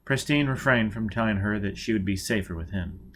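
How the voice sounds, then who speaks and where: A man's voice: lowish voice, fairly quick, a bit bright. A man reading a novel aloud as scripted audiobook narration. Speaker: audiobook reader.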